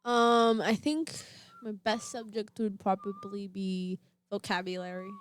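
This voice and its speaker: A woman's voice: mid-range voice, fairly even intonation, measured pace, clean tone. A teenage girl co-hosting a casual homeschool podcast, thinking aloud with lots of fillers and restarts. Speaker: podcast co-host. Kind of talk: casual chat.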